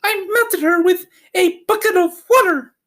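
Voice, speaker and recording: A man's voice: very high-pitched, normal speed, pushed and bright, voice pushed up. A man voicing an earnest, plaintive little girl in a storybook reading. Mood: earnest, insistent.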